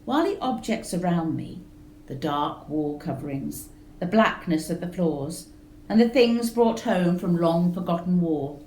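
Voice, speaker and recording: A woman narrating a gothic story in a measured, expressive reading. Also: lowish voice, echoey room, a little hiss or hum, low-quality recording. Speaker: reader of a story. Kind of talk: scripted narration of a gothic tale.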